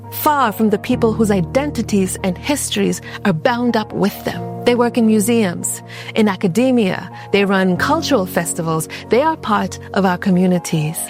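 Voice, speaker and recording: A woman hosting a podcast, narrating a scripted episode in a deliberate, measured way. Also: fairly high pitch, darkish tone. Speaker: podcast host.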